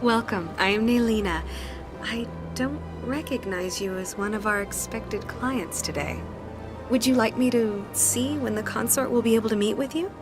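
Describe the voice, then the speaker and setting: A woman voicing a courteous, poised alien acolyte who receives clients in a video game, polite, soothing and measured. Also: on the high side, close to an everyday voice. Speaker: receptionist acolyte. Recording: game music behind the voice.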